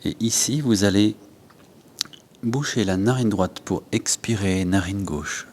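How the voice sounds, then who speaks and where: Deep-voiced man guiding yoga. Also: darkish tone, slight background noise. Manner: unhurried, instructing in short phrases with pauses. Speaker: yoga teacher. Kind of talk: guided yoga and breathing instruction.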